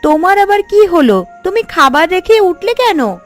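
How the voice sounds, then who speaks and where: A woman voicing a sharp-tongued, teasing younger married daughter in a Bengali cartoon story. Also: very high-pitched, sing-song, normal speed, soft background music. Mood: puzzled.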